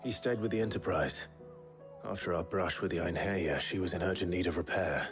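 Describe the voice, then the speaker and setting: A resolute fantasy game hero with a strikingly deep voice. He speaks in terse, measured, grave phrases, guarded and gruff, with music playing underneath. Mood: grave, subdued. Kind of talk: dramatic video game cutscene acting.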